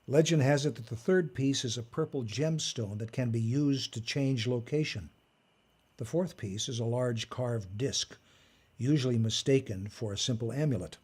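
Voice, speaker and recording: Measured, commanding old magician played by a man. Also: medium pitch, darkish tone, breathy edge. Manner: measured, formal, lecturing. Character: old male magician and artifact collector, commanding, smugly moralizing. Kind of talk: audio drama acting.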